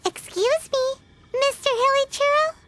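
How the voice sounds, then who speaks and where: Casting: tiny childish fairy companion for anime game, extremely high, slow and singsong, blunt. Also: female voice, heavy background noise. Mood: agreeable. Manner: squeaky, childish, indignant. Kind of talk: anime-style fantasy game voice acting. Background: prominent music.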